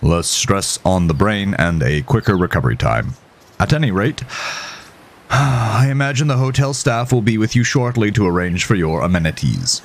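A man voicing a gruff, stern, impatient small-town doctor with formal, precise speech in an audio horror story. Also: on the low side, animated, moderate pace, pitched above the performer's own voice. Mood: formal, measured.

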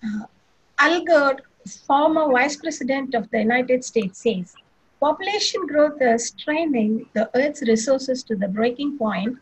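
A woman's voice: sits high, slightly rough, rough recording. A woman speaking emphatically on a video call as a conference panelist. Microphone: video call webcam.

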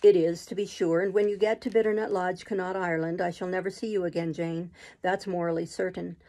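Brooding gentleman master read by a woman, middle-aged man, earnest, gravelly undertone, classic audiobook. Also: on the low side, fairly even intonation, a little fast, close to an everyday voice. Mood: tender. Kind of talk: audiobook reading of a classic novel.

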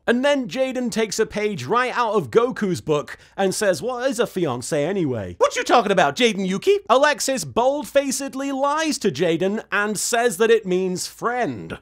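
High-pitched, animated sarcastic man. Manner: sarcastic, exasperated, expressive. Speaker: host of a reaction recap show. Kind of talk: comedic scripted recap commentary to camera.

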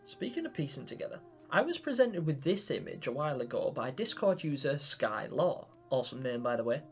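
A man's voice: medium pitch, big pitch swings, brisk, creaky. A young man hosting a video, giving theatrical, wordy commentary to viewers about game theories. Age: young adult. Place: small home room, indoors. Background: background music.

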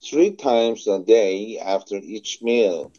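Low-voiced Korean-accented man on muffled phone. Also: mellow. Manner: slow, careful repetition with small slips. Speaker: phone English practice partner. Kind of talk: repeating phrasebook sentences aloud. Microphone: phone call.

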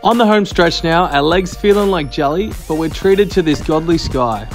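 A man's voice: fairly high pitch, expressive, moderate pace. A man narrating his travel vlog in a wry, upbeat voice-over. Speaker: travel vlogger. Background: music.

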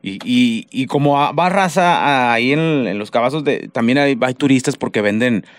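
A man's voice: mid-range voice, lively intonation, normal speed, dry recording. A man, telling crude, joking stories as a radio podcast co-host. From northern Mexico. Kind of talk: casual comedic chat. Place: radio studio. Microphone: headset with studio mic.

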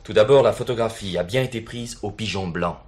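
Male actor as formal police superintendent, low voice, brisk delivery, correct and cold, radio drama. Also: darkish tone, natural voice. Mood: earnest. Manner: formal, measured officialese. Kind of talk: French radio play acting.

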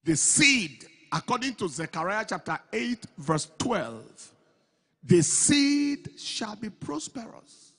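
A middle-aged pastor with a high, bright voice and a slightly breathy edge, preaching slowly and deliberately. He presses each phrase with force into a handheld mic. His words ring out in the long reverb of a big auditorium. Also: very animated. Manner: builds from slow, measured delivery to loud, emphatic preaching. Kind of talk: sermon. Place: large church auditorium stage with a crowd. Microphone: handheld microphone.

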